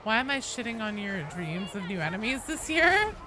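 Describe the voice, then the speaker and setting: A woman's voice: mid-range voice, big pitch swings, moderate pace, crowd noise. A woman live streaming a video game, chatting casually and answering viewers in a joking, sweary way. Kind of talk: casual gaming chat, reading and answering viewer comments.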